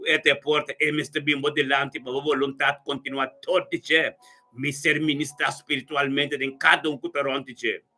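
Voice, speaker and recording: A man prays aloud in a very bright, forceful voice of medium pitch. His delivery is fervent and improvised, built on repeated, chant-like invocations as he leads the prayer. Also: level tone, moderate pace. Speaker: prayer leader. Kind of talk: extemporaneous charismatic prayer.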